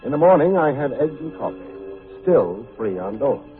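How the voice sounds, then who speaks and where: An actor playing a wry, hard-boiled private detective in an old-time radio drama, speaking in a clipped, sardonic way. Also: male voice, mid-range voice, sing-song, moderate pace, close to an everyday voice. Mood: wry narrating. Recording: muffled, phone-like old radio recording with faint music.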